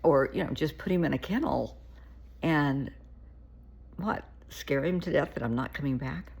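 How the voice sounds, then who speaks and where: Gravelly, husky-voiced woman therapist. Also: low-pitched, normal speed, darkish tone. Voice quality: gravelly, shaky voice. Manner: earnest, pleading, repeats herself. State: getting a cold. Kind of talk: casual advice to camera.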